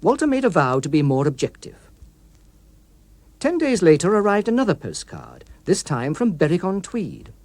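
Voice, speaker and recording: A man narrating an audiobook story in a precise, expressive, literary manner, recorded in a studio. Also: high voice, moderate pace, no room sound. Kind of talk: scripted dramatic story narration.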